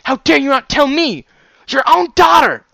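A man's voice: fairly high pitch, moderate pace, sharp tone, dry recording, pitched above the performer's own voice. A man voicing a fiery, defiant young mare, a grown daughter who speaks with biting indignation in an audiobook-style story. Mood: furious.

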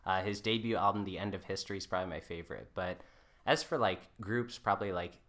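A man with a fairly low but bright, forceful voice chats as a podcast guest. He is informal and self-deprecating, scattering "like" through his sentences. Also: fast talker. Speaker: podcast guest, folk musician. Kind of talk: casual chat.

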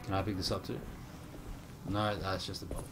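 Jumpy, excitable young male streamer. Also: sits low, a little fast. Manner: jumpy, excitable, mutters to himself. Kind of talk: casual gameplay commentary. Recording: game music playing behind voice.

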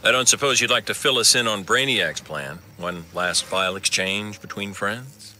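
An actor playing a noble, earnest superhero leader in a video game cutscene, firm and measured. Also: male voice, fairly low pitch, bright, forceful tone, a little hiss or hum. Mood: stern.

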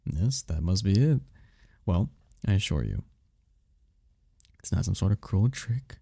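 A man voicing a seductive, possessive demon prince in an ASMR roleplay, with a husky, gravelly voice and a regal, flirtatious manner. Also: deep voice, sing-song, warm tone, no room sound, clean studio sound, exaggerated voice. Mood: tender, possessive. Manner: regal, slow-savouring, flirtatious.

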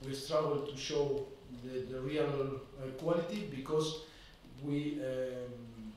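Halting football manager, echoey room. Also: male voice, mid-range voice, steady tone, darkish tone, a little hiss or hum. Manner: measured, halting second-language English with many fillers. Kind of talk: post-match press conference. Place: press conference room. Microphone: desk microphone. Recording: echoey room, mic at a distance.

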